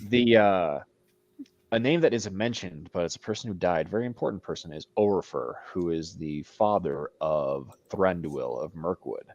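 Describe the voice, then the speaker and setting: Very deep-voiced man, passionate co-host. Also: animated, normal speed, a little mellow, no echo, dull audio. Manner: passionate, playful mock outrage. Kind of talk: casual discussion.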